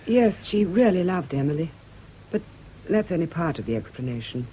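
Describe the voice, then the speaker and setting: An actress playing a composed, solicitous, quietly calculating gentlewoman in a radio drama, gentle and persuasive in her speech. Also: lowish voice, sing-song, moderate pace. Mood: quietly probing. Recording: faintly noisy radio drama recording.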